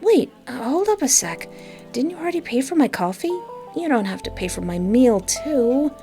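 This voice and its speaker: A woman's voice: high voice, very animated, normal speed, dark tone, voice pushed up. A woman voicing a bashful, teasing female artist on a date in a visual novel, with a light, coy delivery. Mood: playful protest. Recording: music behind.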